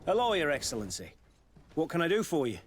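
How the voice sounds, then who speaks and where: An actor playing a dutiful guard commander in a video game, courteous, formal and authoritative. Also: male voice, a little high, huge pitch swings, quick pace, a little hiss or hum.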